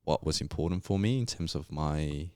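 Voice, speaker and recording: Young colombian guy, super low smooth voice, chatty and reflective, lots of "you know". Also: moderate pace, a little mellow, no echo, clean studio sound. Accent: Colombian Spanish. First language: Spanish. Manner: reflective, storytelling, frequent fillers. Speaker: podcast guest. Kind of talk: casual interview chat.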